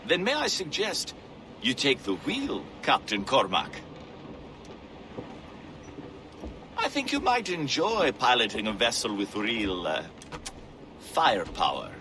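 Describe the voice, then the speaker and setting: A man's voice: medium pitch, wildly swooping pitch, a little slow, background noise. A man playing a courteous, formal British naval captain in a video game. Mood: genial, courtly. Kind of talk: video game cutscene acting.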